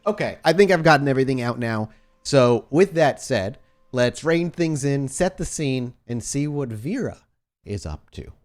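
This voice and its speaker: A man's voice: mid-range voice, animated, measured pace. A man with a mid-range voice works as host and storyteller of a tabletop role-playing podcast. He speaks in an informal, unpolished way, as someone used to guiding listeners through a game. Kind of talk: casual podcast chat, then slow scene narration for a role-playing game.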